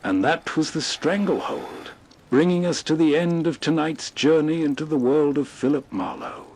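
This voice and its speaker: A man's voice: fairly high pitch, normal speed, darkish tone. A man hosting a nostalgic radio show with reflective, scripted late-night narration. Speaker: host of old-time radio show.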